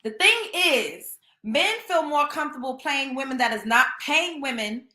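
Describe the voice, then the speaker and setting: Brief: young woman host, blunt and scolding, high bright voice, echoey room. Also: animated, moderate pace. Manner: blunt, scolding, emphatic, repeats points. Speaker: show host. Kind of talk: opinionated commentary monologue.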